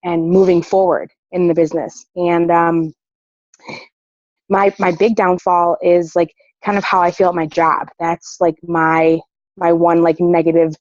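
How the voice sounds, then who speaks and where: Woman, losing her voice, sick, hesitant, low-ish pitch, dull call audio. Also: level tone, a little slow, a little mellow, no echo. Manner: hesitant, with many fillers and restarts. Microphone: video call.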